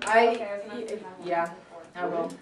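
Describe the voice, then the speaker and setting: A woman's voice: lowish voice, drawn-out words, a crowd in the background. A woman playing a lovesick, pleading teenage girl in a comedic live reading of a romance script. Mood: hurt. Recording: distant mic in echoey room, audience noise.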